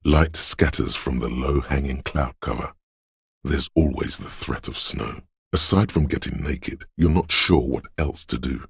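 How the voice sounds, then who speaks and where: Very deep, gravelly brooding city spirit. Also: male voice, no echo, clean studio sound, full character voice. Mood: eerie, melancholy. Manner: slow, hushed, poetic, ominous narrator. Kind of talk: scripted atmospheric video game narration.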